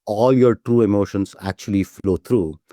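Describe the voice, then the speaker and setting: Man, lowish mellow voice, dry sound, keen startup guest who keeps checking in with "right?". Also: moderate pace, no echo. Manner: enthusiastic, repeats "right?" as a tag. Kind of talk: casual interview talk.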